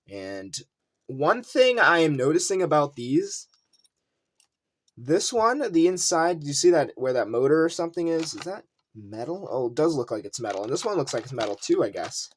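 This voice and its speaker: A video host giving casual unboxing commentary, rambling and thinking aloud while showing collectibles. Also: mid-range voice. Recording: noisy room with clicking handling sounds.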